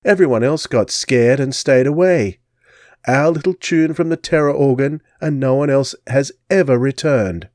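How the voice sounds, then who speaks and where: A man voicing a tall, well-dressed Englishwoman with an English accent, a soft-hearted accomplice. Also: medium pitch, moderate pace, no echo, clean studio sound, pitched above the performer's own voice, lightly acted. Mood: regretful.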